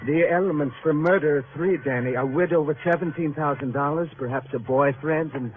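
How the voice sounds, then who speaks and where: An actor playing a vain, theatrical news photographer who fancies himself an artist, speaking in florid, formal phrases in a radio drama. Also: male voice, a little high, brisk, phone-quality sound, music in the background, lightly acted. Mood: self-important, grave. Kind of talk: 1950s radio drama acting. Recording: noisy, thin vintage radio recording.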